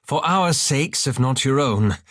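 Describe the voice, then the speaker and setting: A man's voice: medium pitch, lively intonation, a little slow, fairly bright tone, dry recording. An actor playing a scholarly, easily exasperated male elf wizard in a video game, with formal, dry, sardonic diction. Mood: wry. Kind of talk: video game character dialogue.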